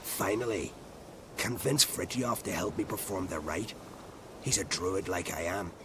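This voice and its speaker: A crotchety old druid from a fantasy game, low in pitch and full of testy condescension. His phrases trail into breathy whispers, and there is clear noise behind him. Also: measured pace. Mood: imperious, condescending. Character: crotchety, haughty old male druid and alchemist. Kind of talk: scripted video game acting.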